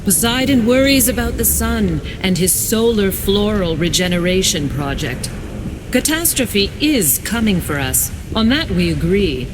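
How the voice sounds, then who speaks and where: A woman playing a cold, scheming warmonger goddess in a video game, condescending and disdainful. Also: mid-range voice, lively intonation, measured pace, noisy background, close to an everyday voice. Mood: cold, scornful. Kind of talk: dramatic video game acting. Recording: music behind the voice.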